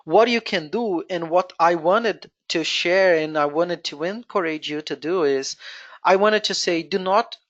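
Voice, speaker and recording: A Brazilian-accented presenter whose first language is Portuguese, walking through a software screen-share tutorial step by step and thinking aloud as they go. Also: medium pitch, unhurried, dull audio. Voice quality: explains step by step, thinking aloud with frequent "you know". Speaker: webinar presenter.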